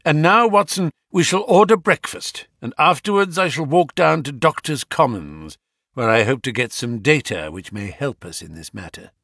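Gentleman sleuth played by a man: bland politeness, dry amusement, expressive range, close dry sound. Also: medium pitch, normal speed, breathy edge, no room sound. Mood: amused, keen. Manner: imperturbable, polite, wry. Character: cool, bland, amused male gentleman detective.

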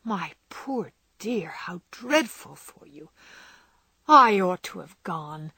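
A woman's voice: medium pitch, expressive, normal speed, slightly rough, dry recording. Woman voicing an emphatic, fretful teenage girl. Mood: anxious. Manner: earnest and emphatic. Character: impulsive, warm-hearted teenage girl, self-reproaching.

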